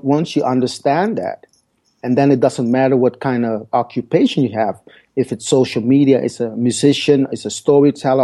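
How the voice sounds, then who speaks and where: Dutch-native man with a mellow voice. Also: mid-range voice. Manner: hesitant, repeats words while searching for phrasing. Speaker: guest speaker. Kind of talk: podcast interview.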